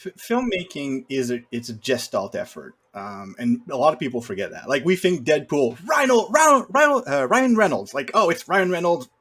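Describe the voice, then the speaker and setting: A man's voice: fairly high pitch, very animated, normal speed, few pauses, fairly bright tone, husky. A man talking casually about films as a podcast panelist, opinionated and fond of acting out imagined quotes to make his points. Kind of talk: casual film discussion.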